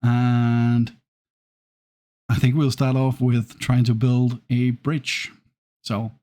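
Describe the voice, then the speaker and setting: A man's voice: medium pitch, level tone, mellow. A man in his thirties from Scandinavia, with a Scandinavian accent with traces of Irish, giving casual, hesitant commentary over his gameplay. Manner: hesitant, many ums and restarts, unhurried. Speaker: gaming YouTuber.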